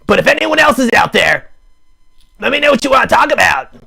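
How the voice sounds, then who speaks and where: A man voicing a chatty, goofy puppet who hosts a live show, rambling playfully in a raspy, croaky voice. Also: extremely high pitch, sing-song, brisk, bright, forceful tone, exaggerated voice. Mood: rambling, casual. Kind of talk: improvised comic rambling to live chat.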